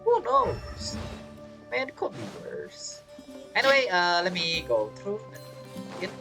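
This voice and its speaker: Woman, game streamer, lowish voice, lively intonation, rambling casual commentary, faint music behind. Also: slow. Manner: rambling, talking to herself and to viewers. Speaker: gameplay streamer.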